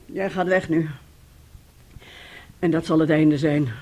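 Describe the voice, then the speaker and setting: Older mum in a radio play, domineering and weary, very emphatic and stern. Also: female voice, low voice, steady tone, slow pace, darkish tone. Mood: stern, accusing. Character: weary, domineering older mother, moralising and insistent.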